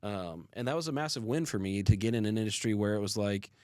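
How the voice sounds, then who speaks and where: Brief: young man, podcast co-host, lowish voice, earnest and reflective, close dry mic. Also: lively intonation, fast, darkish tone, no echo. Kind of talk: casual podcast conversation.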